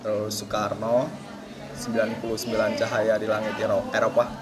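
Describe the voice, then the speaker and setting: A man from Kebumen in Central Java calmly answering interview questions. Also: lowish voice, brisk, over a noisy crowd.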